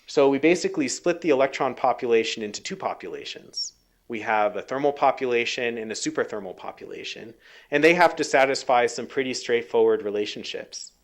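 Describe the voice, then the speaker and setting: Guy doing a science talk, lots of ums, restarts, bit of a tired voice. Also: mid-range voice. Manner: halting, many ums and restarts. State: losing his voice a little. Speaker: scientist giving a research talk. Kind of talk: technical lecture.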